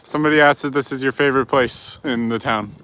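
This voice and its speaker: Bright-voiced man co-hosting outdoors. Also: mid-range voice, steady tone, fast. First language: English. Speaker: livestream co-host reading viewer questions. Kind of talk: casual chat. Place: outdoors, walking in a city. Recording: outdoor audio with faint traffic noise.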